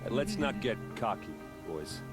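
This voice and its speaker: A man playing a gruff, sardonic soldier who leads a squad in a video game, with a low voice. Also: big pitch swings, measured pace, over music. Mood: cautioning.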